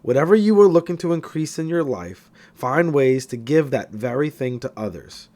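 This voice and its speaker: A man reading a self-help audiobook in an expressive, emphatic scripted narration style. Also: medium pitch, normal speed.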